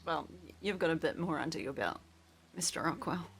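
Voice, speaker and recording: A woman's voice: low-pitched, fairly quick, creaky, fairly bright tone, a little hiss or hum. A woman chatting in character during a roleplay game, wry and teasing. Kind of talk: casual roleplay chat in character.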